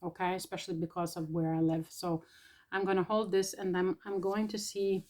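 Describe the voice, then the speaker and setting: A woman talks through spiritual messages in a relaxed vlog. Her delivery is soft and hesitant, full of pauses, and her throat sounds a bit irritated. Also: lowish voice. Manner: soft, tentative, pausing often. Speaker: spiritual channeler.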